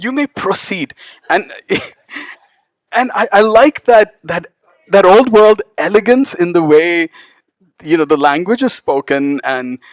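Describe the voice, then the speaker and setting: A man talking about his travels at a book event, animated and quick to laugh. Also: on the high side, no echo. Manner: animated storyteller, laughs often. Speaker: author. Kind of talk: casual talk at a book event. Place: event hall with live audience.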